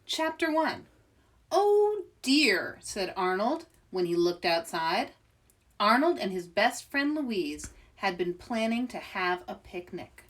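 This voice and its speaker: Lady doing storytime for kids, talks right to them, unhurried n bright, little distant from mic. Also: medium pitch. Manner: expressive, addresses listeners directly. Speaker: children's author and story-time host. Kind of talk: animated read-aloud for young children.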